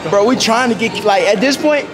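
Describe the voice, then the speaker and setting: A man's voice: high voice, fairly quick. A young man hosting casual street interviews, talking in playful slang with plenty of swearing. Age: young adult. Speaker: host of public interview vlog. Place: large indoor shopping mall. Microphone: wireless mic on camera shoot.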